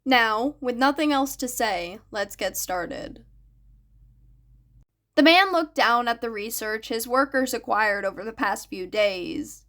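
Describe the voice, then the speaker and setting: A woman's voice: fairly high pitch, expressive, slow pace, a bit bright. A woman reading a story aloud as a scripted audiobook narration. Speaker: fan fiction narrator.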